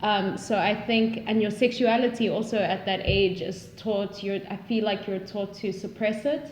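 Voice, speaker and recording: A woman's voice: mid-range voice, steady tone, normal speed, a little hiss or hum. Reflective woman, echoey room. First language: not English. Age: 20s. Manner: earnest, reflective, searches for words. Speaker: podcast guest. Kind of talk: casual conversation. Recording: roomy echo.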